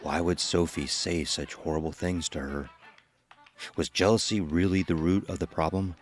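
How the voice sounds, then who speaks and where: A man's voice: deep voice, steady tone, normal speed, close to an everyday voice. A man narrating a scripted horror story as an ominous storyteller.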